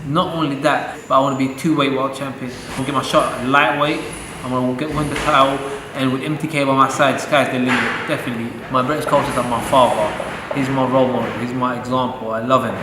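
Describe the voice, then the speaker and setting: A man's voice: mid-range voice, steady tone, fast talker. A young man, a professional boxer born in Saudi Arabia, speaks earnestly and proudly in a pre-fight interview, with the odd joke. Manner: earnest and proud, joking now and then. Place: boxing gym. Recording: echoey gym with loud ambient noise.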